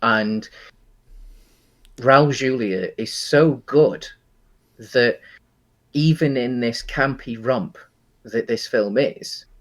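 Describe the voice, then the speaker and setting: A British man co-hosting a casual discussion of films and games, speaking in a halting way with frequent pauses mid-sentence. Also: mid-range voice, fairly bright tone. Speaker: podcast co-host.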